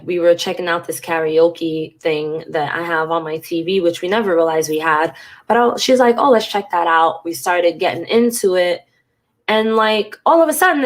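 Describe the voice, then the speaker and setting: A woman vlogger with a congested, stuffy voice, talking frankly and emotionally to camera and swearing casually. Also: fairly low pitch. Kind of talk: candid talk to camera.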